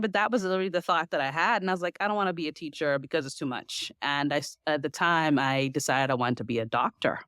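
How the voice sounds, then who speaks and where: A woman in her forties from the Bahamas with a Bahamian accent, a podcast guest telling her story in a lively way and laughing often. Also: lowish voice, no echo, clean studio sound. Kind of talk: casual interview chat.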